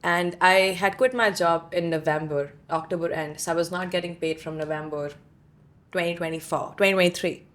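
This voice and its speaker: A woman's voice: on the low side, steady tone, a little fast, a bit bright. A young woman from India co-hosting a podcast in casual chat, talkative, with many fillers and restarts. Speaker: podcast co-host.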